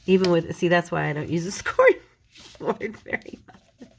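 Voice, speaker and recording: A woman with a slight cold talks her way through a paper-folding project, chatty and quick to poke fun at her own mistakes. Her voice sits low with a gravelly edge, and a faint hiss runs behind her. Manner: chatty, self-deprecating, talks while working. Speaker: paper crafter. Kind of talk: casual crafting tutorial.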